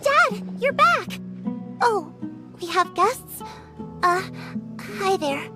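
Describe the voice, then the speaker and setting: A woman playing a shy, sweet young girl in a video game, gentle, hesitant and polite. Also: very high voice, big pitch swings, over music, heavily acted. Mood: surprised, shy. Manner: hesitant, timid, slow. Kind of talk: English video game voice acting.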